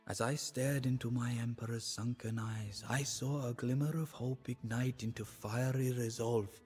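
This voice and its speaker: An actor playing a sorrowful, devout adviser to an Indian emperor, narrating the story in a solemn, measured, theatrical way for a game. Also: male voice, medium pitch, fairly even intonation, darkish tone, breathy edge, soft background music, lightly acted. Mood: stirring, resolute. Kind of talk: dramatic scripted storytelling narration.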